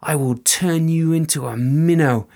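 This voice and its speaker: A man's voice: fairly high pitch, a little slow, gravelly, darkish tone, voice pushed up. A man voicing a wrathful fairy woman. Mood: stern, wrathful. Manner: stern, pronouncing curses.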